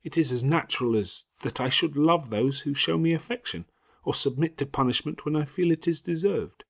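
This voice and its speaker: Man voicing an indignant young girl, pitched up. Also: normal speed, gravelly. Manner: emphatic, urgent, formal Victorian diction. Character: indignant young girl, passionate, defiant, impatient. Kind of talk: audiobook character acting.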